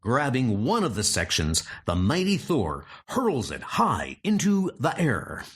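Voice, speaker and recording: A man's voice: mid-range voice, huge pitch swings, a little slow, a bit bright, breathy edge. A man narrating a comic book story as a dramatic scripted reading.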